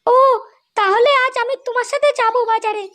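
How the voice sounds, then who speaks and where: A woman's voice: very high voice, a little fast, fairly bright tone. A woman voicing a bossy, insistent young wife who can sweet-talk or scold coarsely, in a crude comedy cartoon. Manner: insistent, nagging, coarse when angry.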